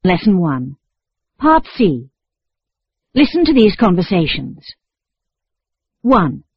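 An announcer reading scripted instructions for a language course, slow and measured. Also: mid-range voice, sing-song, slightly rough, no echo. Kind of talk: scripted textbook audio instructions. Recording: dull lo-fi dry tape recording.

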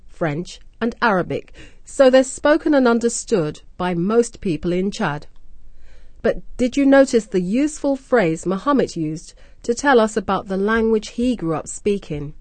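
A woman radio presenter hosting a scripted language-learning programme, explaining words and phrases clearly and deliberately. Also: medium pitch, darkish tone, no echo. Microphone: close studio mic.

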